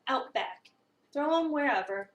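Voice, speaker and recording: A woman voicing a gruff, curt, busy female cafe chef in a visual novel reading, deadpan and dismissive. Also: high voice, measured pace. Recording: roomy, a bit far from the mic.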